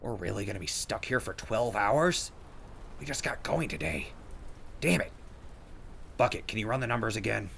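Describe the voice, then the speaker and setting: A man playing a wry, weary, good-hearted and easily exasperated wasteland survivor in an audio drama, with a husky, gravelly voice. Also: on the low side, animated, normal speed, pauses often, a bit bright, slight background noise. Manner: sarcastic, colloquial, exasperated. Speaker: protagonist and narrator. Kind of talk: post-apocalyptic audio drama acting.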